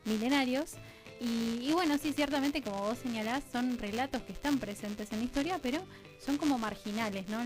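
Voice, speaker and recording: A woman with an Argentine accent explaining things conversationally as an interview guest, halting and correcting herself as she goes. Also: a little high, low-quality recording. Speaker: interview guest, radio drama scriptwriter. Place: radio studio. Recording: music clearly playing behind voice.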